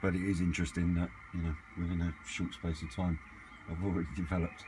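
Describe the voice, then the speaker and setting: A man's voice: sits low, flat delivery, fast talker. A man chatting casually and off the cuff, hesitant as he thinks aloud in a hobby vlog. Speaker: hobbyist vlogger. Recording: clearly noisy amateur camera recording.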